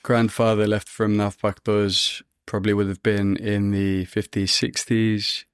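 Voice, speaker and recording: Relaxed man, low dry voice. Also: measured pace, smooth, dry recording. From London, England. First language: Greek (Cypriot). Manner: relaxed, chuckles between phrases. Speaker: guest. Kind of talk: casual podcast chat.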